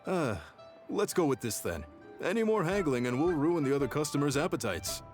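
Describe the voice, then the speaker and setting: A man's voice: a little high, very animated, brisk. An actor playing a proud, hearty restaurant chef in a video game, hospitable and theatrical like a salesman. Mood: businesslike, jovial. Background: game music.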